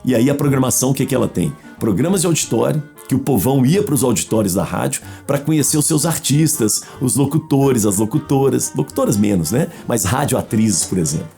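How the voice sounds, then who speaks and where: A man's voice: medium pitch, lively intonation, a little fast, gravelly, warm tone, soft background music. A man with a Brazilian accent in casual explanatory interview talk, posing questions and answering them himself.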